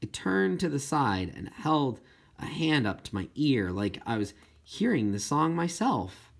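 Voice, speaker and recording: A man reading a first-person children's novel as an expressive audiobook narrator. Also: medium pitch, unhurried, vocal fry. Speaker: audiobook reader.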